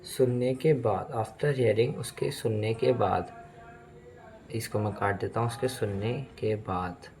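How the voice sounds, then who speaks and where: A man's voice: fairly low pitch, fairly even intonation, slow pace, creaky, darkish tone, a little hiss or hum. A man with an Indian accent, a native Hindi speaker, teaching English in a bilingual lesson, explaining word by word and repeating phrases.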